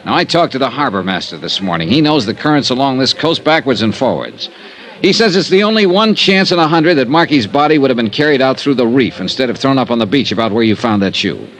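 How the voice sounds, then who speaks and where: An actor playing a dogged insurance investigator in an old-time radio drama, American, assertive and wry. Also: male voice, mid-range voice, very animated, quick pace, fairly bright tone, low-quality recording. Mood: insistent. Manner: assertive, wry, hard-boiled. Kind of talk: old-time radio detective acting and first-person narration.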